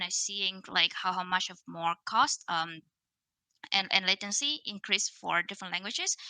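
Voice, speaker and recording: A woman's voice: mid-range voice, pushed and bright, dry recording, poor audio. A woman from Vietnam in her thirties, speaking English with a Vietnamese accent, giving a casual technical conference talk with many fillers and restarts over a video call.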